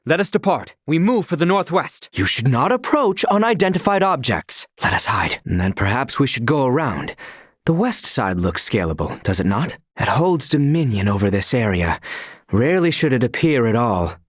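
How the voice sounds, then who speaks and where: A man's voice: mid-range voice, sing-song, normal speed, no room sound. Young male royal retainer, video game character, formal archaic diction, stern, lilting melodic delivery, close dry sound. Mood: brisk, purposeful, then measured, cautionary.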